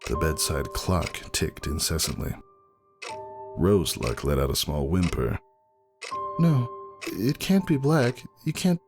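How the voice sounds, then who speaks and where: A man narrating a dramatic first-person story in a measured, somber manner. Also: a bass voice, vocal fry.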